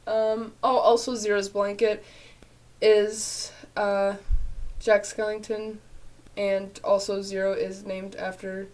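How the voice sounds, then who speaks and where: A woman's voice: medium pitch, flat delivery, slow, a little mellow, poor audio. A young woman with a nasal, congested voice, chatting casually to her webcam as she answers viewer questions at home. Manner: chatty, rambling, frequent fillers and laughs. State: nasal and congested after illness. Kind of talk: casual vlog chat. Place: small bedroom at home, indoors.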